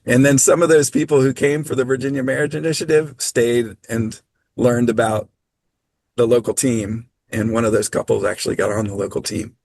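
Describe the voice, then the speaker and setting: A man presenting on a video call training, speaking in a measured, practical way with frequent ums. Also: medium pitch, fairly even intonation, vocal fry, a little mellow, no room sound. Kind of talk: online training talk.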